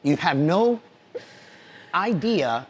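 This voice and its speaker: A man with a fairly high voice hosts a relaxed interview. He sounds eager and keeps restarting and repeating his questions. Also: extremely slow, breathy edge. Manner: enthusiastic, repeats himself while asking questions. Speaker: podcast host. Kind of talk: casual interview hosting. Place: cigar club lounge.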